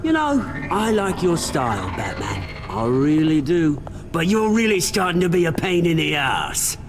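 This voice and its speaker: A man's voice: fairly high pitch, sing-song, moderate pace, noisy background. A man playing a cocky, foul-mouthed British crime boss villain in a video game, with a taunting, sneering, theatrical delivery. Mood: menacing, boastful.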